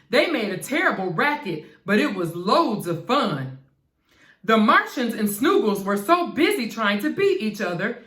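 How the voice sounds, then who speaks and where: A woman narrates a children's bedtime story with animated, swooping intonation. Her voice sits a little high, and the room adds noticeable echo. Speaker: storybook reader. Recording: echoey room.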